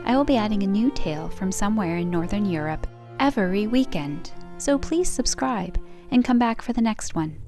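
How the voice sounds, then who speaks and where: A woman's voice: medium pitch, animated, moderate pace, warm tone, faint music behind. A woman reading a scripted folk tale as a storyteller, in storybook narration style. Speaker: storyteller, channel host.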